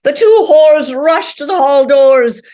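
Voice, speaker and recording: A woman's voice: high voice, takes it slowly, mellow. A woman giving a dramatic reading of a novel, with a theatrical delivery that voices each character. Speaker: reader.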